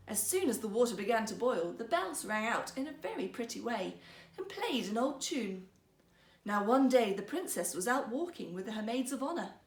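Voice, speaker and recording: A woman storyteller reading a fairytale for children in a theatrical, expressive manner. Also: on the high side. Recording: echoey room.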